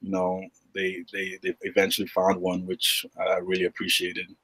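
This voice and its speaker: Deep husky man, relaxed and halting. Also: rough recording. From Uganda. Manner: relaxed, halting with many fillers. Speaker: guest, retired pro basketball player and agent. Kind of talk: podcast interview.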